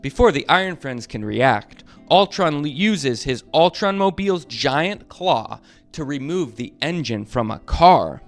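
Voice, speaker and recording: A dad reading a superhero storybook to kids, animated and playful, shouting sound effects. His voice sits a touch high and leaps around in pitch. Soft guitar music plays underneath. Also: measured pace, hardly any pauses. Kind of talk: children's read-aloud.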